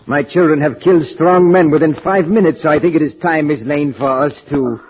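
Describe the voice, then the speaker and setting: A dull, lo-fi vintage radio recording of a mad scientist villain. His fairly high voice is pompous and theatrical, savoring every menace as he gloats like a self-appointed god. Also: brisk, slightly rough. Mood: cruel, commanding. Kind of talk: 1940s radio thriller acting.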